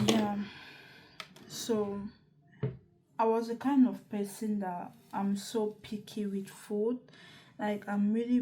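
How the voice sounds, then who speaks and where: A woman's voice: mid-range voice, warm tone, slight background noise. A young woman from northern Cameroon talking casually to the camera in a chatty, repetitive way, restarting her sentences often. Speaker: vlogger.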